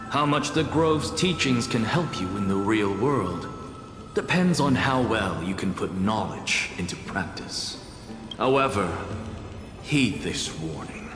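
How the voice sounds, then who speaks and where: Haughty professor, slow and swooping. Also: male voice, fairly low pitch. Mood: solemn, stern. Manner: pompous, condescending, withering. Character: haughty, exacting male professor and renowned scholar. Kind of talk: dramatic video game voice acting. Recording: echoey long reverb with music behind.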